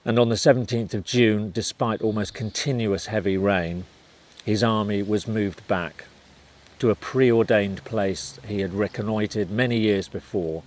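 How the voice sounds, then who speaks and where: A man's voice: on the low side, fairly even intonation, measured pace, darkish tone. A man reading scripted narration for a historical documentary.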